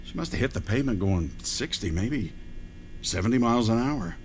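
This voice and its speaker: A man playing a probing, clinical medical examiner in an audio drama, with a measured, dry delivery. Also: on the low side, lively intonation, a little hiss or hum, natural voice. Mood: clinical, skeptical.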